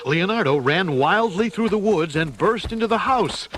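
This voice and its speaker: A man narrating a children's adventure story in a deliberate, theatrical style. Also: high voice, expressive, slight background noise. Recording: loud music behind the voice.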